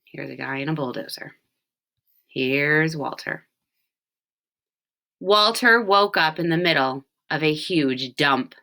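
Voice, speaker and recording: A woman reading a children's storybook aloud, with expressive, deliberate phrasing and short explanations. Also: low-pitched. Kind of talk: read-aloud storytelling with brief picture explanations.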